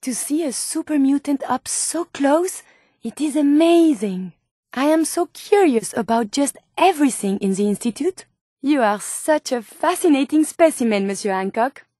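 A high, closely recorded female voice with a French accent, an earnest video game medical robot who is learning to be human. She speaks in formal, carefully chosen words, with open curiosity and tender feeling. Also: moderate pace, no echo, clean studio sound. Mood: curious, delighted. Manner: precise, formal, expressive diction. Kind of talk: video game character dialogue.

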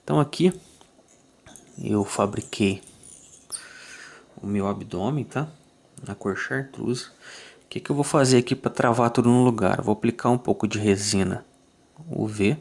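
A man with a somewhat low voice talks through a fly tying tutorial in a calm, methodical way. He is from Brazil and keeps checking in with his viewers after each step, as if sitting at his own tying bench. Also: unhurried, creaky, pauses often. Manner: calm, methodical, ends phrases with "tá?".

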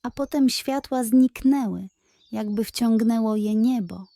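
A woman's voice: a little high, measured pace, gravelly, dark tone, dry recording. A woman voicing a worried squirrel grandmother in a children's story, telling her tale in an uneasy, wondering way.

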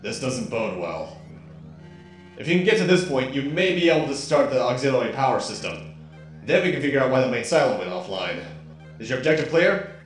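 A man with a stuffy nose reading the lines of a calm male ship computer commander, giving a measured, formal briefing. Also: medium pitch, reverberant, faint music behind, close to an everyday voice. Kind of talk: reading game dialogue aloud.

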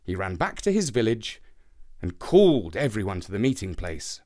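A man narrating a scripted children's story in a theatrical, expressive way. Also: lowish voice, normal speed.

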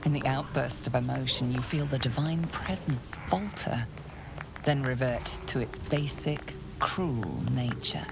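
The narrator of a fantasy game, solemn and hushed. Her voice is low and slow with a gravelly creak, ominous and deliberate over loud music. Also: background noise, exaggerated voice. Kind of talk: scripted dramatic narration.

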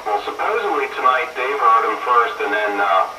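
A man's voice: medium pitch, lively intonation, normal speed, highs cut off. A very noisy, echoey radio transmission of an American man in casual CB chat. He sounds distant, as if heard from a speaker across the room. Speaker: radio hobbyist on an on-air roundup. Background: radio static and room ambience.

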